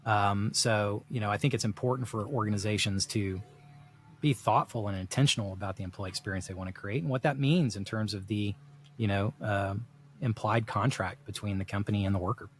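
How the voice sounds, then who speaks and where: A man's voice: fairly low pitch, fairly quick, slight background noise. A middle-aged man from South Texas, talking through ideas in a rambling, reflective way full of "you know" fillers on a podcast. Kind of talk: casual podcast discussion.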